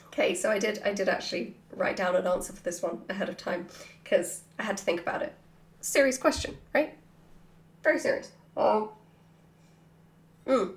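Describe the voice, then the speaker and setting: A woman with a fairly high voice talks in a casual, chatty way, as if answering questions from followers. Her delivery is animated and playful. The recording has a noticeably echoey, roomy sound. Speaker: writer hosting a Q&A.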